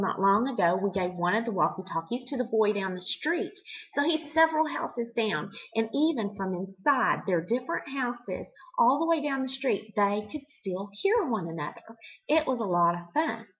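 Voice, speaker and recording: A middle-aged woman hosting a devotional for children, teaching in simple, expressive words and putting questions to the listener. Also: medium pitch, muffled recording. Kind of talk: devotional talk addressed to children, with storytelling.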